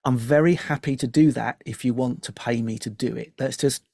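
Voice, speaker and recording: A man's voice: medium pitch, brisk, creaky, a little mellow, no room sound, clean studio sound. A man speaking conversationally as an interview guest. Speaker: media composer, interview guest.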